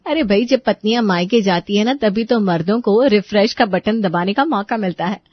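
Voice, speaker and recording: A woman's voice: medium pitch, moderate pace, dry recording, lo-fi sound. A woman narrating a scripted comic story, chatting to the listeners as she tells it.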